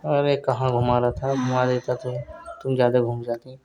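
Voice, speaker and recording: A man's voice: mid-range voice, level tone, moderate pace, mellow, slight background noise, lightly acted. A man playing a flirty brother-in-law who teases and coaxes in casual talk on a recorded phone call. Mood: teasing, coaxing. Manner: teasing, coaxing, suggestive.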